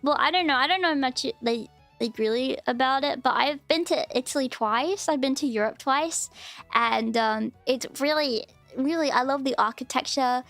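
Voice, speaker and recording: A young woman talks loosely to her stream, full of "um"s and "like"s. Her voice sits high. The sound is close and dry, with faint music playing underneath. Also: moderate pace, fairly bright tone, no room sound. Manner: rambling, filler-heavy, chatty. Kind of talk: casual live-stream chat.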